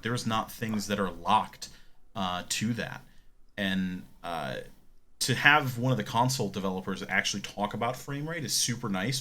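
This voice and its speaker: A man with a fairly low voice that has a clear bright edge. He talks like a podcast co-host, casual and opinionated, laying out his reasoning as he goes. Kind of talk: casual gaming tech discussion.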